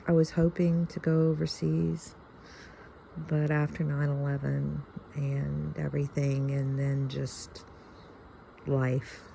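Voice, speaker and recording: Slow, gravelly Southern American woman. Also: extremely low pitch, warm tone, a little hiss or hum. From Louisiana, United States. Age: fifty-one. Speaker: video channel host. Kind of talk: casual reflective chat to viewers.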